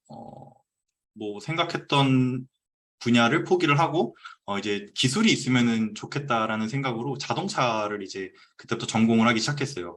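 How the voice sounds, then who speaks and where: A man around thirty giving a relaxed, conversational talk on a video call, pausing often with "uh". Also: medium pitch. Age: 30s. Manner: relaxed, conversational, frequent "uh" pauses. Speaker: guest speaker presenting his business story. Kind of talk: casual presentation on a video call. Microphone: computer mic on a video call.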